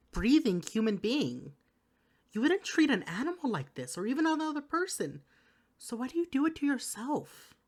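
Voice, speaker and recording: A woman playing a worried, exasperated partner in an intimate audio roleplay, speaking to the listener with earnest, caring reproach. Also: mid-range voice. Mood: pleading, insistent. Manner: earnest, pleading, caring scolding.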